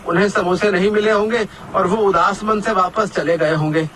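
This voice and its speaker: Man acting a high, bright humble samosa seller. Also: steady tone, normal speed, slight background noise. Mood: worried. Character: humble, hardworking male samosa seller and his customer. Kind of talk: Hindi cartoon moral story dialogue. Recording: faint background music under the voice.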